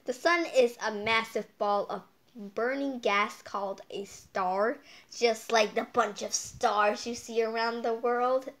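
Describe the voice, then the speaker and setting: Female storytime host, higher voice, unhurried pace, casual and whimsical, wanders into playful tangents. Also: fairly even intonation, a bit bright. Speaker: storytime read-aloud host. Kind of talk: casual read-aloud with rambling playful asides.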